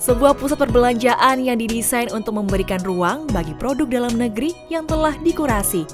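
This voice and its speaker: A woman reading a scripted corporate promotional narration. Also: fairly high pitch. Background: prominent music.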